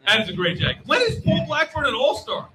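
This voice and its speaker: A man hosting lively live trivia on stage, blunt and joking, reacting quickly with exclamations. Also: extremely high pitch, over a noisy crowd. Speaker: game show host at a fan convention panel. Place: live stage before an audience at a convention.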